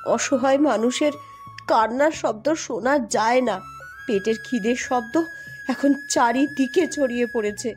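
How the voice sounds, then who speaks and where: A woman voicing a caring, poor farmer's wife in a cartoon, with a soft, heartfelt delivery. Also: fairly high pitch, unhurried, faint music behind, close to an everyday voice. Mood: sorrowful. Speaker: voice actor. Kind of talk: cartoon voice acting.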